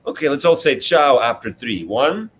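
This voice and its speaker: A man's voice: medium pitch, animated, normal speed, a bit bright, a little hiss or hum. A man reading a children's book aloud as its author, expressively, with simple explanatory asides to young listeners. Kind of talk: read-aloud storytelling for children, interactive.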